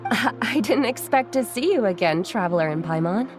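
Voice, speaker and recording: An actress playing a gentle, polite female traveller in an anime video game, hesitant and apologetic in manner. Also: medium pitch, expressive, moderate pace, natural voice. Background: music.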